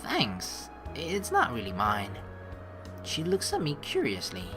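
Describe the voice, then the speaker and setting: Low-voiced, very animated woman let's player. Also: slow. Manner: expressive, dramatized reading. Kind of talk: reading game text aloud with casual commentary. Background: game music.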